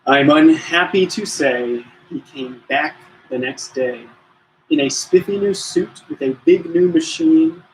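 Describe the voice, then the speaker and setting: Man reading a storybook, higher-pitched, noisy recording. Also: unhurried. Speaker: storybook reader. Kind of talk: expressive children's story read-aloud.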